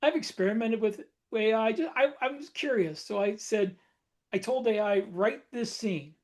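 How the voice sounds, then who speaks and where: A man's voice: sits high, normal speed. A man co-hosting a casual podcast, explaining his experience thoughtfully, step by step. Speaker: podcast co-host. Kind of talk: casual panel chat.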